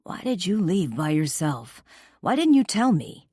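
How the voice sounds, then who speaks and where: A woman voicing a furious, possessive male rock musician who shouts curses and barks commands in an audiobook. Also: on the low side, big pitch swings, unhurried, breathy edge, no echo, clean studio sound, voice pushed up, exaggerated voice. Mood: fierce.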